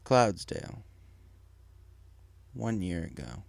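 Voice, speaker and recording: A man's voice: low voice, sing-song, slow pace, vocal fry. A man giving scripted narration of a dramatic story as its narrator.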